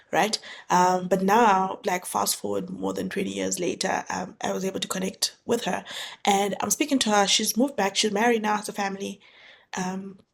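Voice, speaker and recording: A young woman from Zambia hosts her own podcast, thinking aloud in a loose, reflective way, full of fillers and self-corrections. Her voice is strongly gravelly with fry, at a mid pitch. A faint noise sits under the recording. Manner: thoughtful, many fillers and restarts, conversational. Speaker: podcast host. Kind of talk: reflective solo podcast monologue.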